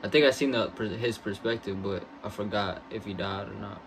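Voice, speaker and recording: A young man in a dim bedroom, giving laid-back, slangy commentary while reacting to game clips. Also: brisk. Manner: laid-back, slangy, low-key remarks. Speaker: reaction streamer. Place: dim bedroom, seated in a gaming chair. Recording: game audio noise playing behind.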